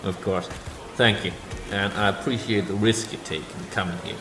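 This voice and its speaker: A man's voice: fairly low pitch, fairly even intonation, normal speed, background noise, lightly acted. A man playing a modest, world-weary private detective in a noir radio drama, talking in an informal, folksy way. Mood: probing.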